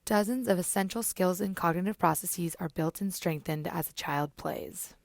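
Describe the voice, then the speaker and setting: Woman narrator, scripted report, gravelly, dry. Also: fairly low pitch, no echo. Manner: measured, informative.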